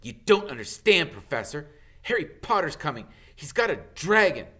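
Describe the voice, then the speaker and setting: Man doing a high, protesting tattletale schoolboy. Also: very animated, moderate pace, sharp tone, heavily acted. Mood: pleading, protesting. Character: sneaky, protesting schoolboy telling on others.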